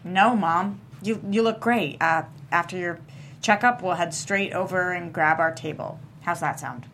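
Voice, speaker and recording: A woman's voice: on the low side, unhurried, fairly bright tone. Woman playing a wry grown daughter, some background noise. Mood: patient, wry. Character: exasperated grown daughter of a difficult mother, patiently polite. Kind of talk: scripted table read acting.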